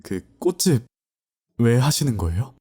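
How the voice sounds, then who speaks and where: A man's voice: medium pitch, big pitch swings, unhurried, a little mellow, slightly rough. A man playing a hesitant, stammering student. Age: young adult. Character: hesitant, troubled male university student. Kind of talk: audio drama acting.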